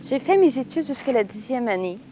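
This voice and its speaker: A woman's voice: fairly high pitch, lively intonation, a little fast, mellow, slight background noise. A woman from Alberta, a nun studying at a university, answering carefully with hesitations. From Alberta, Canada. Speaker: nun and graduate student. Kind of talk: archival radio interview answers.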